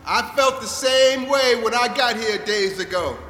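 A man's voice: very high-pitched, unhurried, pushed and bright, exaggerated voice. An actor playing Otis, a cheerful, talkative man who calls himself a prophet, in an audio drama. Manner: upbeat, chatty, storytelling. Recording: long hall reverb.

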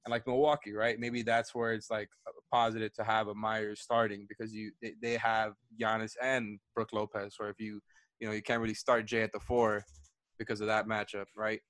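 A man's voice: fairly low pitch, flat delivery, a little fast, fairly bright tone, dry recording. A man co-hosting a podcast, chatting about basketball lineups, thinking aloud with many restarts. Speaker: podcast co-host. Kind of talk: casual sports chat.

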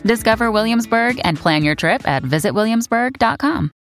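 Lively woman reading an ad. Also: fairly high pitch, normal speed, soft background music. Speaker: ad announcer. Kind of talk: scripted ad read.

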